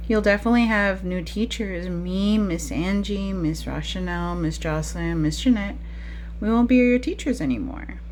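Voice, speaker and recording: A woman's voice: medium pitch, moderate pace, darkish tone, slight background noise. A woman preschool teacher talking to young children during a picture-book read-aloud, with frequent questions in a teacherly way.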